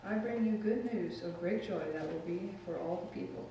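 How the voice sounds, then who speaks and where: A man reads scripture at a lectern in a steady, measured way. The camera is far back in the sanctuary, so his voice comes through very echoey and distant. There is audible noise in the room. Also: high-pitched, gravelly. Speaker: scripture reader. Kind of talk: Bible reading in church service. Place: church sanctuary. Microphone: lectern microphone, far camera.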